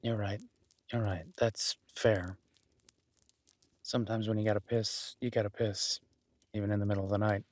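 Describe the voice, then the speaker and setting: A man playing a kind, protective woodsman in an intimate roleplay audio drama, speaking in an unhurried, reassuring and wryly teasing way with a husky voice. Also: lowish voice, little pitch movement, no echo, natural voice. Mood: sympathetic, wry. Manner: soft-spoken, unhurried, reassuring, wryly joking. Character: gruff-kind woodsman host, gently teasing, protective cabin dweller.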